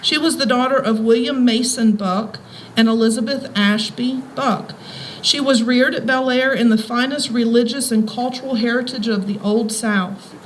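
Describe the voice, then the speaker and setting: A woman's voice: fairly high pitch, fairly even intonation, moderate pace. American woman speaking and reading aloud, faint noise. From Front Royal, Virginia, USA. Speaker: schoolteacher, heritage society president giving a reading. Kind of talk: informal public talk and reading aloud. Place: live talk at a historic house tour. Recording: faintly noisy live event recording.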